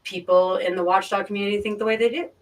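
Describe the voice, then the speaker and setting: A woman chatting casually as a live-stream guest, explaining things in a halting way with repeated and restarted phrases. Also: medium pitch, fairly even intonation, recorded from a distance. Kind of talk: casual chat, explaining and recounting.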